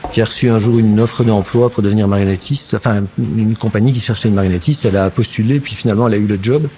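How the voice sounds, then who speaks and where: Low-voiced Belgian man, slight Flemish tinge. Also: steady tone, fast, warm tone, slight background noise. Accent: slight Flemish-tinged Belgian French. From Belgium, near Brussels. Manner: reflective, hesitant, many fillers and restarts. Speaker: guest, actor and puppeteer. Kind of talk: casual interview talk.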